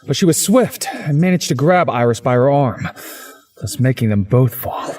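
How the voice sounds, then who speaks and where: A man's voice: mid-range voice, animated, normal speed, darkish tone. A man narrating a visual novel in the first person, as a story's narrator.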